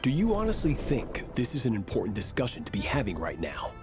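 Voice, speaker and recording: An actor playing a shrewd, imperious conspirator in a video game, with a brusque, appraising manner. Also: male voice, mid-range voice, huge pitch swings, a little fast, music in the background. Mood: impatient. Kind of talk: dramatic video game voice acting.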